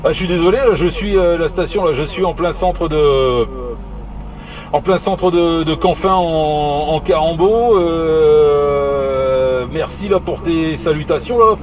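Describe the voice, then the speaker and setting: Hesitant Frenchman chatting over noisy vehicle rumble. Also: darkish tone. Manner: hesitant, halting, repeating words; jocular, teasing. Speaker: amateur CB radio operator. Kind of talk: casual radio chat.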